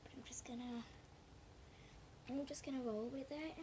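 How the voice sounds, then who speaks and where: A woman chatting casually and haltingly through a hands-on demonstration for viewers, with a hoarse, breathy voice that keeps thinning into a whisper. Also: high voice, fairly even intonation. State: hoarse, losing her voice. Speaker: slime video maker talking to viewers. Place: at home indoors. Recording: noisy amateur home recording.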